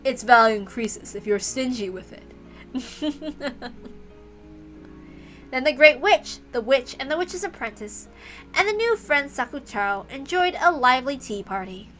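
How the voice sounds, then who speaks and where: A woman's voice: high-pitched, expressive, a little slow, a bit bright. A woman streaming a read-aloud of a visual novel, informal in the way she reads and comments. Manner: chatty, informal between passages. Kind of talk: read-aloud narration with casual asides. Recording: music behind the voice.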